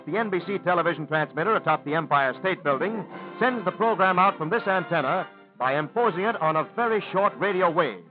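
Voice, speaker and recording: A man's voice: fairly high pitch, narrow, tinny audio. A man with an American accent reading scripted narration in a formal, declarative 1930s newsreel announcer style. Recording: thin vintage 1930s film soundtrack.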